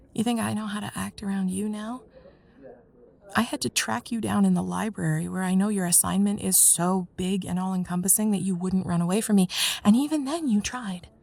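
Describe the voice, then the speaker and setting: A woman playing a young student in love with her best friend, in an intimate ASMR roleplay, speaking softly and hesitantly, often near a whisper. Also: mid-range voice, normal speed. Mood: earnest, frustrated. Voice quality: soft breathy, husky, often near whisper. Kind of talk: intimate ASMR roleplay, one side of a dialogue.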